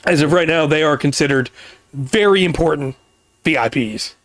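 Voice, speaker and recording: A man hosting a casual podcast, explaining things at length with pauses and restarts, his voice getting worn. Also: on the high side, fairly bright tone, no echo. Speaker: podcast host.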